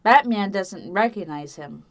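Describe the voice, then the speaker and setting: A woman's voice: medium pitch, lively intonation, a little slow. A woman reading scripted third-person narration for an audio drama. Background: background music.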